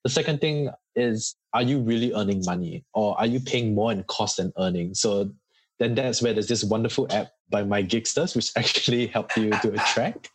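A Singaporean man speaks in a fairly low, husky voice as an interview guest. He explains things earnestly and conversationally, with examples, in a dry, close sound. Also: normal speed, no echo.

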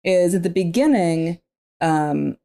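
Low, gravelly, mellow-toned woman; candid podcast co-host who laughs easily. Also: slow pace. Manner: laughs often, animated and candid. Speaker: former teacher. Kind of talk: casual book discussion.

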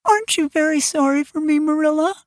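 A woman's voice: high-pitched, moderate pace, dry recording, clean studio sound, pitched above the performer's own voice. A woman voicing a dramatic, imaginative young girl who speaks in earnest, theatrical phrases. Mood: plaintive.

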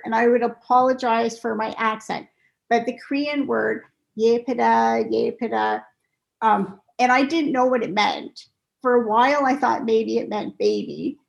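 A woman speaks as a podcast guest, her fairly high voice carrying a steady gravelly fry. She explains things patiently, one step at a time, in a relaxed conversational way. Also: low-quality recording. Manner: explains in steps, patient and clear. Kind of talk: casual interview talk.

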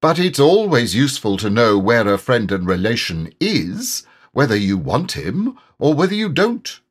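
A man voicing a brisk, self-important rabbit who likes to organise everyone, in a children's storybook. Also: mid-range voice, sing-song, breathy edge. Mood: bossy, important. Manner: important, brisk, carelessly dismissive.

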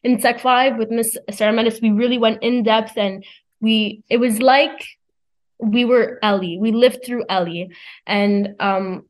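A young female high school student speaking earnestly and informally as she shares her view in a discussion. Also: fairly even intonation, measured pace, poor audio. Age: teens. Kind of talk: thoughtful spoken discussion.

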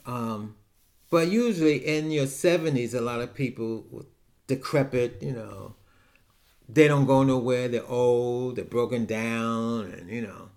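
A man's voice: medium pitch, measured pace, darkish tone. A man, talking frankly and rambling through a casual personal monologue with many restarts. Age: 70s. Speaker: podcast host.

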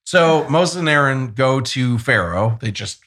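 A man's voice: medium pitch, lively intonation, a little slow, fairly bright tone. A man casually retelling a Bible story in his own loose paraphrase, with jokey asides. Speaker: podcast co-host.

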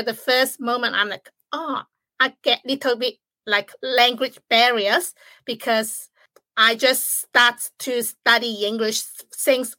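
A young woman from China with a Chinese accent, speaking English as a second language in a halting way with grammar slips, as a podcast guest. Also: on the high side, sharp tone, no room sound. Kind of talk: podcast interview.

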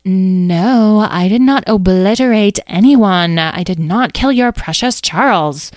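A woman voicing a dramatic, testy, self-important magical creature in a children's story, with drawn-out, haughty phrasing. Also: deep voice, expressive, darkish tone, no room sound, studio quality, exaggerated voice. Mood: testy, defensive. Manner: drawn-out, theatrical, haughty delivery.

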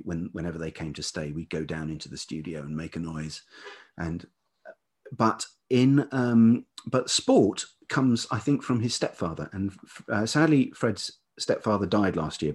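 A man's voice: sits low, slightly rough. A middle-aged British man talking reflectively as an interview guest, hesitant and searching for his words. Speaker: guest, writer and teacher.

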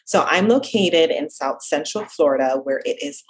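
A woman's voice: low voice, expressive, normal speed, breathy edge, poor audio. A woman from Jamaica with a slight Jamaican accent, a dietitian talking casually as a podcast guest. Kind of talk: casual interview talk.

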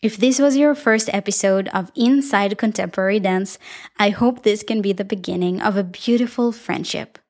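Israeli woman, young adult, solo podcast host and dancer, reflective and upbeat, speaks warmly to her listeners, medium pitch. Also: normal speed.

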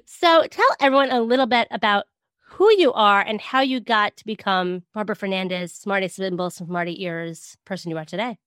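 A woman's voice: mid-range voice, moderate pace, fairly bright tone, clear, resonant tone, no room sound, studio quality. A woman hosting a podcast who works as a speech-language pathologist, reading a scripted intro and then chatting in a welcoming way with her guest. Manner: upbeat, welcoming.

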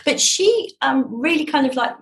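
A woman's voice: high-pitched, expressive, moderate pace. A middle-aged woman with an English accent, talking casually and enthusiastically as an interview guest, with emphatic turns of phrase. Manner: chatty and enthusiastic, piling on emphatic words. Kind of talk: casual, jolly conversation.

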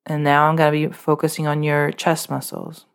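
Low-voiced woman guiding a relaxation. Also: normal speed, clean tone. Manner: calm, unscripted guidance with fillers. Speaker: meditation guide. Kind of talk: guided relaxation meditation.

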